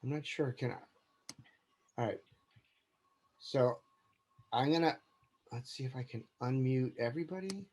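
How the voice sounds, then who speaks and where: A man's voice: mid-range voice, unhurried, long pauses, a little mellow. A man hosting an online event on a video call, hesitant and restarting often. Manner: hesitant, restarting, fumbling with controls. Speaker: event host.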